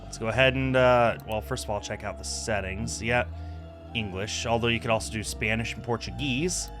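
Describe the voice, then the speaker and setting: A man's voice: lowish voice, moderate pace. Casual male game streamer, music behind. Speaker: streamer, host. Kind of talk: casual game stream commentary, reading on-screen text aloud. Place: indoor room at a streaming setup.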